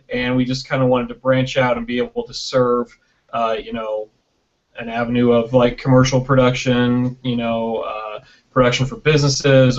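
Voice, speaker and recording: A man being interviewed on a casual video call, explaining things conversationally and often checking in with "right?", recorded through a built-in webcam mic. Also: medium pitch. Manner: explanatory, often tags sentences with "right?" and "you know". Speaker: interview guest, video producer. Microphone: built-in webcam microphone on video call. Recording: dull lo-fi web video call.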